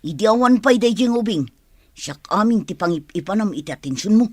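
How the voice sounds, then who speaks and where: A woman acting in a radio drama as a resentful, sharp-tongued aunt with a blunt, complaining manner. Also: medium pitch, expressive, a little fast, no room sound, close to an everyday voice. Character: resentful, sharp-tongued aunt, jealous of her sibling's child.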